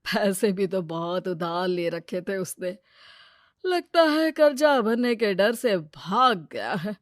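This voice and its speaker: A woman voicing a greedy, scheming male village moneylender, loud and brash in a cartoon story. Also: mid-range voice, sing-song, slow pace, no room sound, studio quality.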